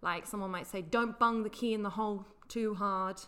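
A woman's voice: medium pitch, fairly even intonation, normal speed, fairly bright tone, smooth. A woman co-hosting a podcast, casually explaining slang words in a teasing, playfully correcting way. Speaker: podcast co-host.

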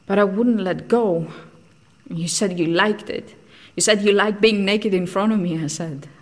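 An actress playing an intense, candid woman scientist in an audio drama, telling of lost love in an earnest, deliberate, wistful way. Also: fairly low pitch, a little mellow. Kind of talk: audio drama acting, confessional storytelling.

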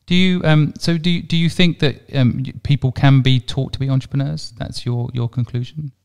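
A man in his forties hosting an interview, asking quick, curious questions. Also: medium pitch, fairly even intonation, vocal fry, darkish tone, clean tone. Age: 40s. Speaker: podcast host. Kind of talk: conversational interview.

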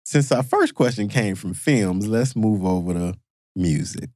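A male podcast host runs a playful quiz, his voice leaping up and down with excitement. The sound is close and dry, with no room in it. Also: lowish voice, a little slow, no room sound. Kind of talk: casual podcast chat.

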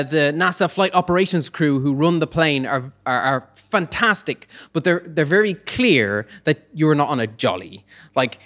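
A man telling a humorous personal anecdote live on stage, in a conversational, hesitant manner with comic pauses. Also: fairly high pitch, a bit bright. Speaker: storyteller on stage.

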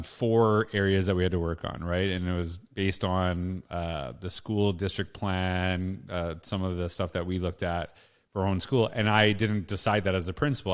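Low-voiced Canadian man, informal podcast host. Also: clear, resonant tone. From Canada, small town Saskatchewan. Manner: informal, rambling, self-rephrasing. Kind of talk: casual conversational interview.

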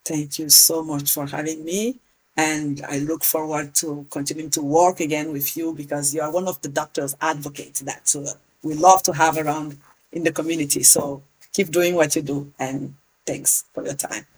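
A middle-aged woman from Niger, speaking as a guest advocate in a podcast interview, earnest and animated. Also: deep voice, normal speed, husky, low-quality recording. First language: Hausa and Zarma. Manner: earnest, animated, repeats words. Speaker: guest, patient advocacy leader.

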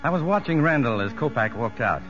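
A firm but humane American prison doctor, acted by a man, mid-pitched with expressive rise and fall. He speaks in measured, educated, authoritative phrases. It sounds like a muffled vintage radio drama. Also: over music, lightly acted. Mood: reflective. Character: firm, humane male prison doctor, first-person narrator. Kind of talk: 1950s radio drama acting and narration.

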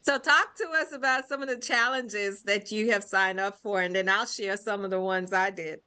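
A woman's voice: medium pitch, steady tone, normal speed, bright, forceful tone, clear, resonant tone. A woman from Brooklyn hosting a faith-based podcast conversation, affirming her guest as she talks. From Brooklyn, New York. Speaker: podcast host.

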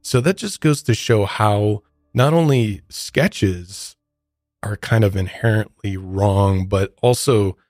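Guy podcast host talking thru true crime stuff, pretty low voice, really dry close sound. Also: takes it slowly, a little mellow, dry recording. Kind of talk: conversational true-crime commentary mixed with prepared facts.

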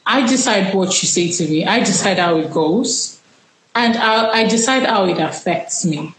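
A woman in her twenties, a Yoruba speaker, telling her personal story in an interview in a reflective, unhurried way, often trailing off and restarting. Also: medium pitch, lively intonation, reverberant, rough recording. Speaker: interview guest.

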